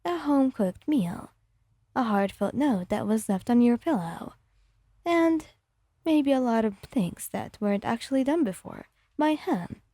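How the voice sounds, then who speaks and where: Woman, ASMR story reader, higher pitch, dark mellow tone, scripted narration, dry close mic. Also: no room sound. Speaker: ASMR fan fiction reader.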